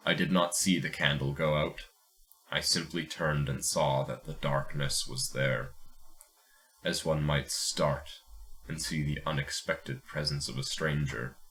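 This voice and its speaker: A man reading aloud as the skeptical gentleman narrator of an old ghost story, in formal, measured phrasing. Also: extremely low pitch, voice pushed down, full character voice.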